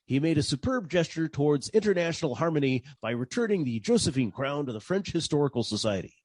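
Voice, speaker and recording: A man's voice: on the high side, a little mellow, no room sound. A man playing a wry, wisecracking 1940s private detective, narrating his case in old-time radio style. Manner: dry, wisecracking.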